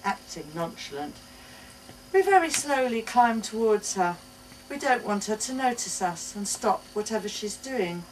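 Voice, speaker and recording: A woman giving an expressive live reading of a first-person short story. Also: medium pitch, unhurried. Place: indoors at a bookshop book launch. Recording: distant mic, noisy live bookshop event.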